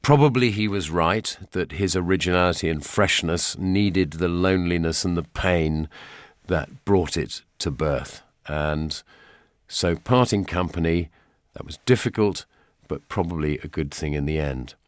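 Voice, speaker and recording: Deep-voiced British man presenting. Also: unhurried, no echo, studio quality. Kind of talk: documentary narration and personal reminiscence.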